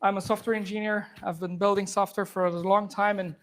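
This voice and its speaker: A man from Afghanistan, a software engineer, giving an informal live tech demo to a room audience on a handheld microphone, talking fast with many restarts. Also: high-pitched, level tone. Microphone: handheld or clip microphone.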